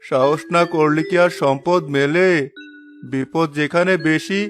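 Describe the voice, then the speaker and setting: A man's voice: a little high, steady tone, a little slow, soft background music, close to an everyday voice. A man voicing a wise older village uncle in a cartoon, a firm and encouraging mentor to a younger fisherman. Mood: rousing. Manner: firm, encouraging, proverb-laden. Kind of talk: dramatised cartoon dialogue.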